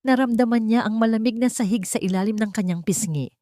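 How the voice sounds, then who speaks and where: A woman narrating a scripted dramatic story in an emotive, deliberate way. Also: fairly high pitch, mellow, no room sound, studio quality.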